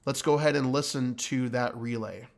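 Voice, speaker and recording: A man's voice: medium pitch, fairly even intonation, moderate pace, vocal fry, noisy background. A man giving an explanatory commentary like an instructor, teaching emphatically and repeating key points. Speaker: flight instructor and host.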